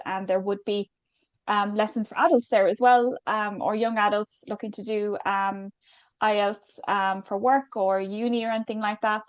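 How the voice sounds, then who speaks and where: A woman's voice: medium pitch, no echo. An Irish woman hosting a webinar, reading out audience questions in a chatty, reassuring way with plenty of ums. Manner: chatty, filler-heavy, reassuring. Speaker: webinar host.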